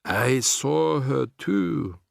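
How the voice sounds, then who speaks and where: A man voicing a calm elderly grandmother who speaks softly and slowly in a storybook reading. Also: medium pitch, gravelly, no echo, clean studio sound, full character voice. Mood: tender. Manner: soft, tender, unhurried.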